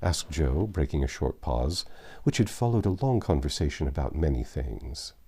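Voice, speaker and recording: Deep, mellow male storybook narrator. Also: animated, normal speed, husky. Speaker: audiobook narrator. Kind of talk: scripted literary narration, storybook reading.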